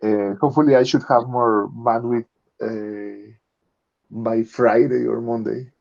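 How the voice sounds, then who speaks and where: Casting: male freelancer, mid-pitched, informal update to his group, somewhat dull video-call sound. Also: fairly even intonation, measured pace, gravelly, darkish tone.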